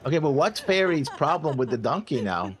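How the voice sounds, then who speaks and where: A man's voice: fairly high pitch, big pitch swings, brisk, slight background noise. A man co-hosting an anime reaction, asking questions and pushing back on his partner's takes. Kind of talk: casual reaction commentary.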